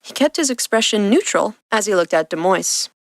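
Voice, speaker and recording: A woman's voice: medium pitch, animated, normal speed, no room sound, studio quality. A woman reading scripted fiction as the narrator of an audio story. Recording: music behind the voice. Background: background music.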